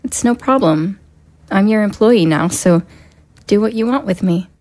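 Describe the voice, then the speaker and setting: A woman's voice: mid-range voice, lively intonation, measured pace, darkish tone, lightly acted. Woman acting a poised young political aide. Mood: eager, coy. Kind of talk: audio drama dialogue acting.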